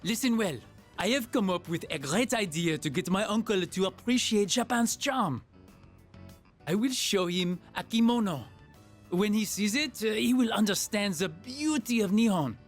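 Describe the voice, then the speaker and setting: A man's voice: high-pitched, lively intonation, normal speed, soft background music. A man voicing an earnest foreign teenage boy in a video game, speaking formally and grandly, as if declaiming. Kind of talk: video game character dialogue.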